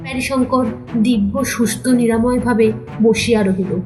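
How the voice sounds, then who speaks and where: Female narrator, slightly high pitch, scripted dramatic storytelling, faint background music. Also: fairly even intonation, normal speed, darkish tone, rough recording.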